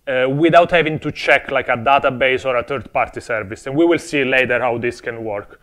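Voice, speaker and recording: Man, Italian accent, young adult, conference speaker, friendly, explanatory, lightly humorous, mid-pitched voice. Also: fairly bright tone. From Italy. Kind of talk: technical conference talk. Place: conference stage before an audience.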